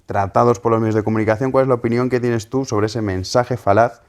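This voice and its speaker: A man's voice: medium pitch, fairly even intonation, quick pace, darkish tone. A man with a Spanish accent from Spain hosting a political interview, putting questions to his guest. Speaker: interviewer and host.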